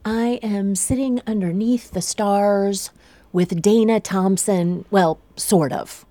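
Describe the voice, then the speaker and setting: A woman's voice: mid-range voice, fairly even intonation, slow, dry recording. A woman co-hosting a radio show, chatty and friendly, interviewing a guest inside a planetarium. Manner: chatty, hushed at times. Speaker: radio co-host. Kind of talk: casual interview talk. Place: planetarium dome.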